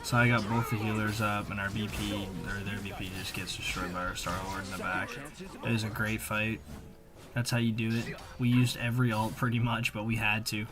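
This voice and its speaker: A man's voice: on the low side, flat delivery, a little fast, over music. A man giving casual, slangy, conversational voice-over commentary on video gameplay, as a gaming video creator.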